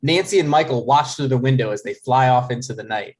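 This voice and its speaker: A man's voice: medium pitch, brisk, a little mellow. A man narrating the stage directions of a comedy script at a table read.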